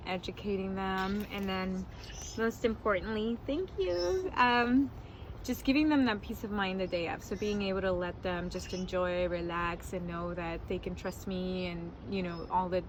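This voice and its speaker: A woman in her forties who was born in Mexico, talking through her story in a relaxed, candid way. Her pitch sits in a middle range, and she laughs readily and swears casually. The recording has clear background noise. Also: moderate pace. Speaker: wedding planner. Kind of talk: casual interview answers.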